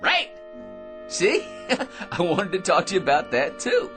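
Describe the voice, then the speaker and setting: A man's voice: high-pitched, huge pitch swings, slow, music in the background, exaggerated voice. An actor playing an eager, self-important American office bore who rambles and laughs at his own remarks in a radio drama. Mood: eager, overexcited.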